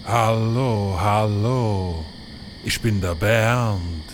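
A man voicing a sluggish, simple provincial husband in a comedy sketch, deadpan and plodding. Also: fairly low pitch, very slow, slight background noise. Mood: dull, placid.

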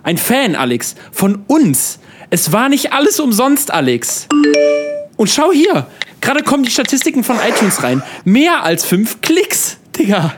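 A man's voice: extremely high pitch, huge pitch swings, measured pace, music in the background, exaggerated voice. A man playing a frantic, loyal slacker friend in a comedy audio drama, exclamatory, slangy and melodramatic. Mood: hopeful, excited.

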